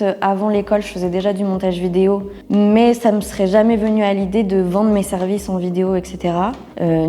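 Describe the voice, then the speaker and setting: A woman's voice: medium pitch, warm tone, long reverb tail. A woman of twenty-nine giving a casual, candid testimonial interview in informal, colloquial speech. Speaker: interviewee, communications officer and freelancer. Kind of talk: casual testimonial interview, talking about own career.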